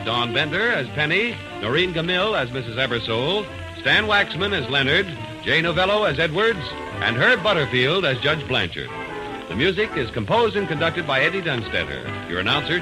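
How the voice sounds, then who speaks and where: A man's voice: fairly high pitch, poor audio. A man with an American accent reading scripted 1940s radio announcements in a polished, emphatic salesman's delivery. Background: music.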